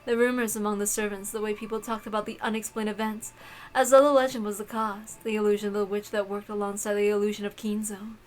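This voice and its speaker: A woman's voice: mid-range voice, flat delivery, fast talker, close to an everyday voice, low-quality recording. A woman voicing a haughty, cruel witch mistress who gives imperious, scornful orders in a visual novel read-aloud. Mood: cruelly commanding.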